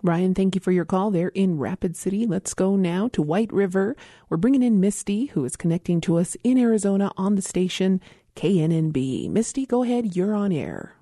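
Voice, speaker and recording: A woman hosting a call-in radio show, calmly welcoming callers and putting them on air. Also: medium pitch, moderate pace, no room sound, studio quality. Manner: calm, welcoming, takes calls smoothly. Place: radio studio.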